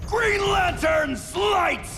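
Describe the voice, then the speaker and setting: A strained hero fighting rage. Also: male voice, extremely high pitch, lively intonation, drawn-out words, over music, exaggerated voice. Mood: straining. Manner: strained, anguished shouting. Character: male space cop fighting off rage. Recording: loud score underneath.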